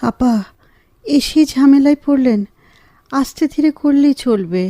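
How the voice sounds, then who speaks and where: A woman acting a gentle, grateful, affectionate wife who is convalescing, in a Bengali radio drama. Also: high voice, measured pace, no echo. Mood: polite.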